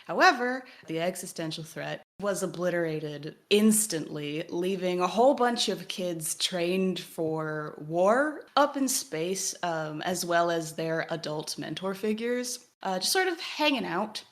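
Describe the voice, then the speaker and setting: A woman with a somewhat low voice talks as a podcast co-host. She explains in a conversational way, as if summarizing for a friend, and puts clear emphasis on important words.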